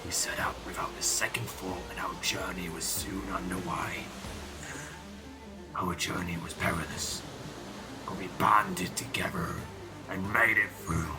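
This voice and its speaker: An actor playing an aging, boastful pirate captain who tells his tales in a grave, theatrical, rambling way for an audio drama. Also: deep voice. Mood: nostalgic. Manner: theatrical, deliberate, archaic seafaring speech.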